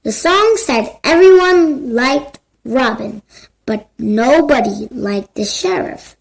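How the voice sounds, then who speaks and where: High-voiced, slow-paced woman storyteller. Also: gravelly, rough recording. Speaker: storybook narrator. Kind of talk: scripted children's story narration.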